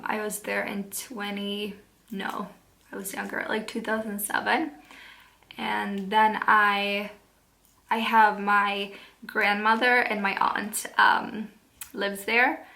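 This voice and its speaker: A woman's voice: medium pitch, sharp tone. A Swedish woman in her twenties talking to camera at home in English, nervous and giggly, with many fillers and restarts. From Sweden. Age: 20s. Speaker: vlogger. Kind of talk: casual talk to camera. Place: home, indoors.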